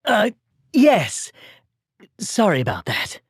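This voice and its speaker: Breathy, brooding young knight. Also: male voice, high voice, huge pitch swings, no echo, studio quality, heavily acted. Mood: strained, reassuring. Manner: formal, dramatic, halting. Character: troubled, gallant young male knight, earnest and brooding. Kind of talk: video game voice acting.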